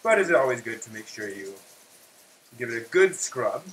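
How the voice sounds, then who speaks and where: A man talks viewers through a recipe in a casual, chatty way, his pitch moving around in a lively fashion as he works. The kitchen sounds echoey, with clear noise behind him. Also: normal speed. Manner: chatty, explains step by step while working. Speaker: cooking live-stream host. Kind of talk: casual cooking demonstration. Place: indoor home kitchen.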